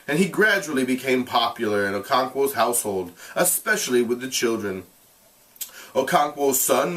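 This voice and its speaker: Male teacher, expressive read-aloud narration, very lively intonation, deliberate explanatory delivery, mic set back from the voice. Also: mid-range voice, far from the mic, rough recording. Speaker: teacher reading a novel aloud.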